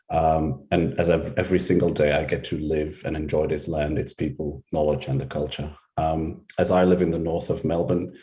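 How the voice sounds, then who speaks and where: A middle-aged man from Belgium, a researcher, giving a conference presentation over a video call, relaxed and hesitant with frequent ums. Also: very deep voice. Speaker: researcher and symposium speaker.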